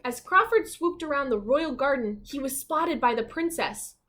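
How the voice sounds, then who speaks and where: A woman teacher reading a children's storybook aloud expressively, pausing to put simple questions to listeners. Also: high voice, animated, fairly bright tone.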